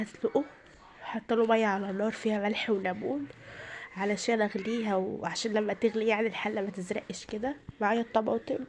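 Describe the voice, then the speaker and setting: A woman's voice: medium pitch, a little mellow, slight background noise. A tired woman with a rural Egyptian accent chats through her home cooking, explaining each step to her viewers. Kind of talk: casual cooking narration. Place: home kitchen.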